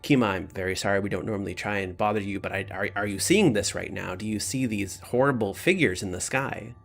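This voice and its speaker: A man's voice: on the low side, fairly quick, gravelly, fairly bright tone. A man voicing an anxious, apologetic druid who stammers out alarming news. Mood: anxious, urgent.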